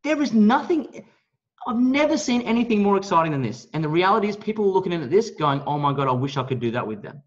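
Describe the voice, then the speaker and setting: A man giving a motivational business pitch, speaking in an emphatic, blunt, salesy way with some mild swearing. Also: very animated, quick pace. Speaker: network marketing leader, guest speaker on a team call. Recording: dull, lo-fi call audio.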